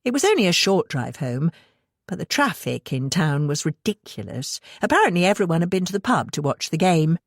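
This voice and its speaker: British female audiobook narrator, low register, scripted novel reading, very dry close sound. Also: very animated, brisk, dry recording.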